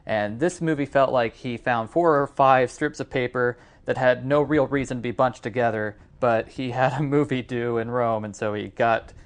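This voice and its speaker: A man's voice: medium pitch, moderate pace, few pauses. A man co-hosting a podcast, giving his opinions on films in a casual discussion. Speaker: podcast co-host reviewing films.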